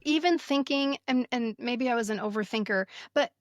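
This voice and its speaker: A middle-aged woman chatting casually as a podcast co-host, repeating phrases deliberately for emphasis. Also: on the high side, no room sound, lo-fi sound. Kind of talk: casual chat.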